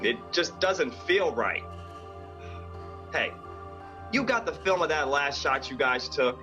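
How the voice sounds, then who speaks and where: A man's voice: fairly high pitch, expressive, takes it slowly, over music. A man playing a blunt, suspicious police lieutenant in a 1940s radio drama, with a dry, folksy manner. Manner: folksy, hard-boiled, dry. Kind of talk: 1940s radio detective acting.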